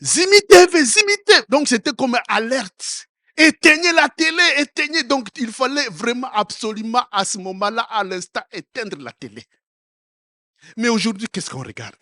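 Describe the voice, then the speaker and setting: A man preaching a sermon as pastor, with a first language other than French, who repeats and restarts his words and rises to loud exhortation before dropping quiet again. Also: very high voice, lively intonation, normal speed, no echo, clean studio sound. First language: another African language.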